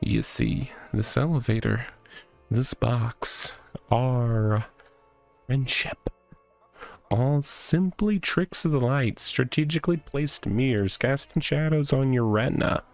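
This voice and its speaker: A man voicing a smug, boastful tough guy from a visual novel, with pompous, drawn-out, theatrical delivery. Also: mid-range voice, vocal fry. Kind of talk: visual novel character read aloud. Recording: music behind the voice.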